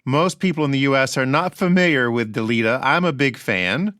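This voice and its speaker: A man hosting a radio interview show, asking questions in an unhurried, courteous way. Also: medium pitch, animated, fairly bright tone, dry recording, clean studio sound.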